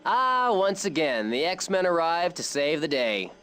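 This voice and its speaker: Wisecracking, swoopy-voiced superhero. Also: male voice, a little high, big pitch swings, measured pace, a bit bright, a little hiss or hum. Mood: joking. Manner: playful, breezy, joking. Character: jokey, upbeat, wisecracking superhero man. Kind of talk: animated video game acting.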